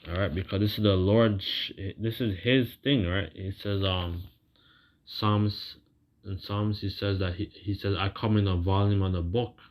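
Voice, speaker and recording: A man preaching informally as he talks and reads scripture, rambling and halting, repeating phrases and checking in with casual tags, on a muffled recording. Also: fairly low pitch, fairly even intonation, a little hiss or hum. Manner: colloquial, seeks agreement with tags like "right". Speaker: religious preacher. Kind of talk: informal sermon with scripture reading.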